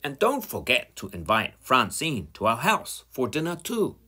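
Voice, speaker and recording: A kindly mother pig from a storybook, as a man puts her on, calling out with a gently raised voice. Her pitch rises and falls widely, and the sound is slow and husky. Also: huge pitch swings, full character voice. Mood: warm.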